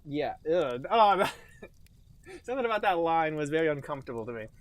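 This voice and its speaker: A man's voice: on the high side, sing-song, brisk, no echo. A young adult man co-hosting a casual podcast, cracking jokes with mock-grandiose asides. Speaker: podcast co-host. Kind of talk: casual chat.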